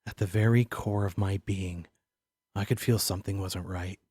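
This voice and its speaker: Man acting a husky, low-voiced haunted narrator. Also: steady tone, darkish tone, dry recording, studio quality. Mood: brooding, tense. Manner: brooding, deliberate, literary. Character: haunted, paranoid man narrating his own unraveling mystery. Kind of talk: dramatic first-person audio drama narration.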